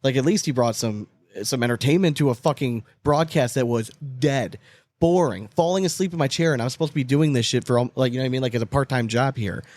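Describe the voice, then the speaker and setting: A man's voice: mid-range voice, quick pace, a little mellow, no echo, clean studio sound. A man hosting a casual sports podcast chat, talking in a blunt, emphatic way and swearing freely. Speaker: podcast host.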